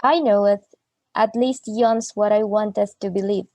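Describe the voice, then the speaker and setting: A woman's voice: mid-range voice, fairly even intonation, normal speed, warm tone, no room sound, lightly acted. A woman playing a solemn, wounded young woman who declaims in formal, archaic phrasing in a radio play. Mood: wounded, demanding. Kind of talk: amateur radio play acting.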